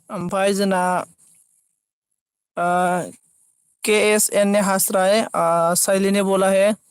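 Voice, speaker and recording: High-pitched man, halting livestream chatter. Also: clean tone, dry recording. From Assam, India. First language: Bodo. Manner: halting, repeats phrases as he reads. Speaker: live streamer. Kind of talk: casual live chat, reading out comments.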